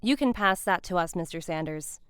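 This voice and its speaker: A woman's voice: on the low side, animated, a bit bright, no echo, studio quality. A woman voicing a poised, condescending teenage honors student. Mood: patronizing. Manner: smooth, condescending, quick.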